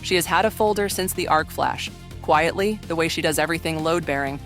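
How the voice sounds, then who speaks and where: A woman giving solemn, measured, literary narration for a scripted audio drama. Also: low voice, soft background music.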